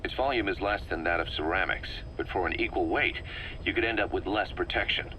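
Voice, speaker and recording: Low, forceful military deputy. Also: male voice, fairly quick, a little hiss or hum, low-quality recording. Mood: matter-of-fact. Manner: explanatory, matter-of-fact. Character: serious, forceful military second-in-command. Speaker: military deputy briefing his boss. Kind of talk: video game voice acting, briefing.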